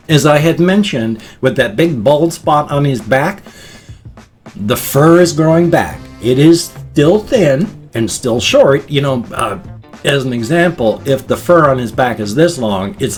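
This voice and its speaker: A middle-aged American man chatting casually and off the cuff in a rambling, dorky vlog manner. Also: medium pitch. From United States. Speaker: vlogger. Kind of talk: casual unscripted vlog chat.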